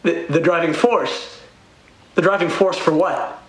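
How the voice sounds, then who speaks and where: Male actor as haunted male witness, high voice, slow halting delivery, frightened, audio drama, roomy echo. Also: a bit bright. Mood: guarded, weary. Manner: halting, repeats phrases. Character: frightened touring musician recounting a horror under questioning. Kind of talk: dramatic audio play monologue. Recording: roomy echo, faint background noise.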